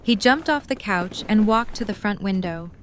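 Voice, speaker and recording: A woman's voice: mid-range voice, expressive, moderate pace. Story narrator, female, middle register, scripted prose over some background ambience. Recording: faint background ambient noise.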